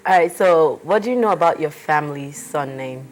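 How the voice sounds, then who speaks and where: A woman conducts an oral history interview, putting each question briefly and plainly. Her voice is slightly low, and faint music sits behind her. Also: expressive, measured pace.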